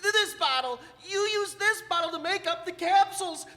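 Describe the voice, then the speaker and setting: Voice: very high voice, lively intonation, moderate pace, sharp tone, heavily acted. A performer playing a frightened, honest young boy in a radio drama, stammering and tearful as they plead. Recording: echoey hall, long reverb.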